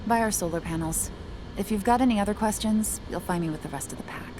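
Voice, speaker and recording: An actress playing an earnest, determined rebel infiltrator, speaking seriously and purposefully in a video game cutscene. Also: female voice, mid-range voice, fast, noisy background, close to an everyday voice.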